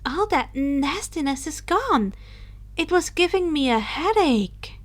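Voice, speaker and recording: Lady reader doing a quirky teen witch girl, real high voice, airy, teasing, fiercely loyal, audiobook style. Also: big pitch swings, slow pace, exaggerated voice. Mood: relieved.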